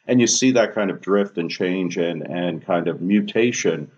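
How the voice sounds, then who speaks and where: A man with a very low voice tells family stories in a loose, conversational way. He pauses often on "uh" and "um" between thoughts. The sound is dull and lo-fi. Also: a little mellow, breathy edge. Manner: anecdotal, filler-heavy. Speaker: discussion panelist. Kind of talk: casual podcast discussion. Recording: dull lo-fi audio.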